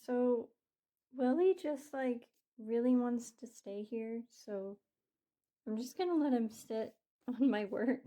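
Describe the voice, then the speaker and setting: Young woman a bit sick, chatting softly about her painting, highish voice, faint music behind. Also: slow. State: slightly sick. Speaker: art vlogger. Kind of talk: relaxed art tutorial narration.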